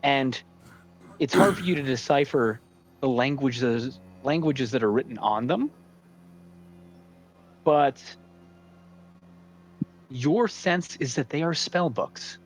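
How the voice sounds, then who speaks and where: A male dungeon master narrates a tabletop fantasy game in an unhurried, deliberate way. His mid-pitched voice sets out each scene phrase by phrase, with faint music underneath. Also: expressive, low-quality recording. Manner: deliberate descriptive narrator, pausing between phrases. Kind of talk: improvised narration of a tabletop role-playing game.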